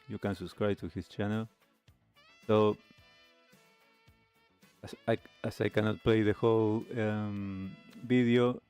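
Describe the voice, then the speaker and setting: A man's voice: on the low side, a little mellow. A man hosting a talk show, giving reflective commentary. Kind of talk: reflective commentary on lifestyle videos.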